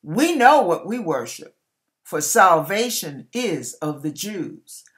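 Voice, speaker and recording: An older woman in about her sixties gives a dramatic Bible reading as a preacher, emphatic and deliberate, stretching words and pausing between phrases. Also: on the low side, very animated. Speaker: online preacher reading scripture aloud. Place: small indoor room with curtain behind.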